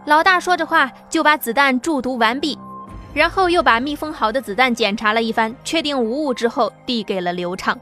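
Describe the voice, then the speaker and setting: Lady narrator, pretty high voice, steady dramatic reading, faint music under it. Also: fairly quick. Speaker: audiobook narrator. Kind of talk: scripted narration.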